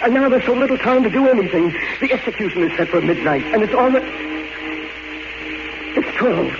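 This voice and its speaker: Desperate middle-aged man, high-pitched, old radio. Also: lively intonation, fairly quick. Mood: desperate pleading. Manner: pleading, overwrought, emphatic. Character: desperate lawyer confessing to murder, anguished father. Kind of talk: 1940s radio drama acting. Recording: noisy, dull 1940s radio recording.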